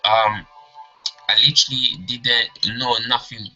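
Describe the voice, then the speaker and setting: A man in his twenties from Congo who grew up partly in England, a non-native English speaker presenting an informal personal lesson in halting phrases. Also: mid-range voice, fairly even intonation, bright, forceful tone. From Congo, then England. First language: not English. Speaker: course presenter, trainer. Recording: dull lo-fi audio, music behind.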